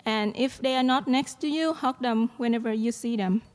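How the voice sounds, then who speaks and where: A woman's voice: on the high side, moderate pace. A young woman from Vietnam with a Vietnamese accent, giving an earnest graduation speech at a podium mic with many "um" fillers. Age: young adult. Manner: earnest, with frequent "um" fillers and light laughs. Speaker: student speaker at a graduation. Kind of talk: commencement speech. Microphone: podium microphone. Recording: long hall reverb.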